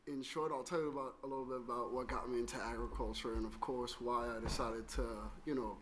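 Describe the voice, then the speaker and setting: Male panelist from Trinidad, medium pitch, measured personal storytelling, live recording with faint noise. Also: fairly even intonation. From Trinidad and Tobago. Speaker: panelist, chocolate company founder. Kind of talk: personal talk to a live audience. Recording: noisy live room recording.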